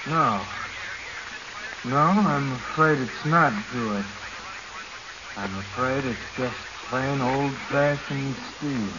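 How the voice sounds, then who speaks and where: Tense male diver, acted by a man, 1940s radio thriller; deliberate delivery, muffled archive sound. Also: medium pitch, very noisy, lightly acted. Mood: strained, evasive. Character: tense deep-sea diver, scheming treasure hunter.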